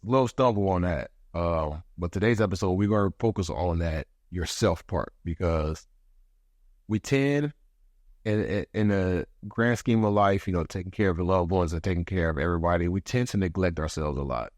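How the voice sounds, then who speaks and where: Podcast host, man in his thirties: deep, slightly congested from allergies, thoughtful and unhurried, dry sound. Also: a little mellow, no echo. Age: 30s. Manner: reflective, pauses to gather thoughts. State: congested from allergies, voice deeper than usual. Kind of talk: casual solo podcast monologue.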